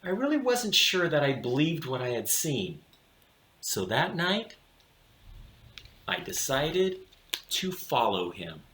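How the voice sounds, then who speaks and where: Slow, animated male storyteller in echoey room. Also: on the high side, slight background noise. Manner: theatrical, with deliberate dramatic pauses. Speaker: storybook reader for children. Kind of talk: expressive read-aloud of a children's picture book. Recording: roomy, echoing room sound.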